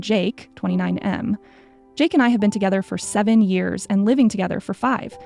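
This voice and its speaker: A woman's voice: medium pitch, creaky, warm tone. A woman reading first-person stories as scripted narration. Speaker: story narrator.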